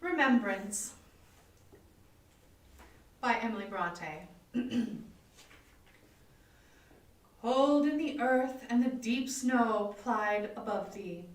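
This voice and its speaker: A woman giving a measured, solemn dramatic recitation of poetry. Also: fairly high pitch, expressive. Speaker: poetry reader. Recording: echoey room, distant microphone.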